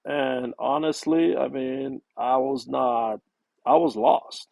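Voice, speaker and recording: A middle-aged man from Cameroon whose first language is French, talking as a podcast guest in a relaxed way with lots of fillers and restarts. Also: medium pitch, slow, vocal fry, no echo. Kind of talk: casual interview conversation.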